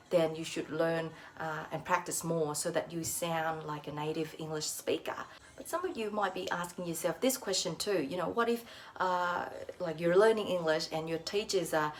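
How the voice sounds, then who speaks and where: A woman's voice: on the low side, a little hiss or hum. Encouraging Australian-accented woman vlogger. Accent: Australian with a slight Vietnamese touch. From Vietnamese background, lives in Australia. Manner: encouraging, many fillers like uh and you know. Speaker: English teaching vlogger. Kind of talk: casual direct-to-camera teaching. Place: at home indoors.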